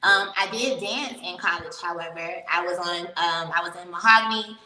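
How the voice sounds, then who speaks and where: A woman's voice: on the low side, normal speed, bright, forceful tone. A young woman from Miami, a professional dancer, telling her story as an interview guest in a frank, chatty way. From Miami, Florida. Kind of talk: casual interview chat. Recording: echoey room, mic at a distance.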